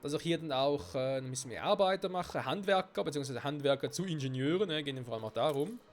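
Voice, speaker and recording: A man's voice: fairly high pitch, fast talker. A man with a cold giving casual gameplay commentary, swearing and thinking aloud to himself. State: stuffy-nosed with a cold. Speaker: let's play gamer. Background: faint game music.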